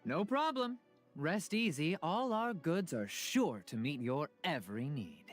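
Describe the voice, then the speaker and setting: A man's voice: on the high side, big pitch swings, measured pace. A man playing a friendly, easygoing merchant in a fantasy video game. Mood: reassuring. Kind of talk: scripted game character dialogue. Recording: faint music behind.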